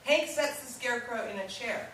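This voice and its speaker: A very echoey, distant recording with faint noise. A woman with a fairly high voice reads out stage directions as scripted narration at a live play reading. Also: normal speed.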